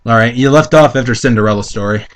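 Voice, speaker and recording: A man's voice: medium pitch, fast talker, a little hiss or hum. Man tossing out casual, profane commentary. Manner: interjecting, repeating himself, profane. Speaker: co-host commentator.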